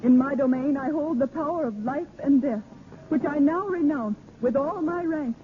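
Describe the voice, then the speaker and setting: A woman's voice: high voice, normal speed, natural voice. A woman playing a proud, passionate young noblewoman in a 1930s radio drama, formal and melodramatic, on a noisy, tinny old recording. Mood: earnest devotion.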